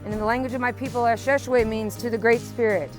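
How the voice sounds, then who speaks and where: Woman, higher voice, big energy, speaking to a crowd, music bed. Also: steady tone, fast. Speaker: ceremony leader. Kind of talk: addressing a gathered crowd.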